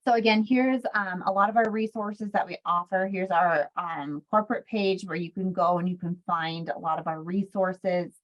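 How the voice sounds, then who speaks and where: A woman's voice: on the low side, steady tone, normal speed, few pauses. A woman presenting slides informally in a webinar, conversational and helpful, often hesitating and correcting herself as she goes. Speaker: webinar presenter for a health care quality improvement organization. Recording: dull, lo-fi webinar audio.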